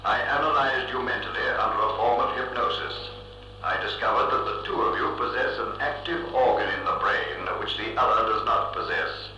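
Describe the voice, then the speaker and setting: A cold, pompous electronic brain, piercingly sharp and heavily echoed. It speaks formally and without feeling, like a ruler in an old science-fiction radio play, with faint music behind. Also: male voice, mid-range voice, animated, dull audio. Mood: clinical. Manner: emotionless, pompous, formal and deliberate. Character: cold, imperious giant thinking machine ruling Mars. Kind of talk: vintage science-fiction radio drama acting. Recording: echoing old reel-to-reel radio recording.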